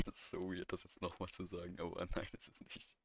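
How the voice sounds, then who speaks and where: A man's voice: deep voice, huge pitch swings, a little fast, a bit bright, breathy edge. A young man co-hosting a casual podcast, chiming in with laid-back, slangy remarks. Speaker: podcast co-host. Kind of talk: casual chat.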